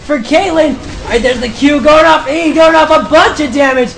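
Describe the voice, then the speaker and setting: High-voiced young man commentating a game. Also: normal speed, vocal fry. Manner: shouts during fights, calm between. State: losing his voice. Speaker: gameplay commentator. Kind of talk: excited gameplay commentary.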